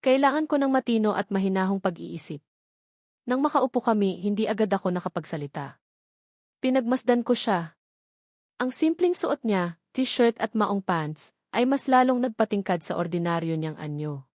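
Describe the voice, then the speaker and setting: Woman narrator, earnest, emotional, mid-pitched, dry close recording. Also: expressive, normal speed, a little mellow, dry recording. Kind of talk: first-person dramatic story narration.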